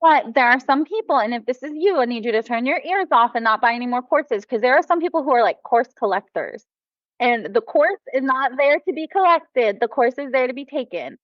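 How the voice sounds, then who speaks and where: A woman's voice: sits high, lively intonation, a little fast, no room sound, dull audio. An American woman, a mom and former teacher, talking enthusiastically as a podcast guest. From United States. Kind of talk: casual podcast conversation.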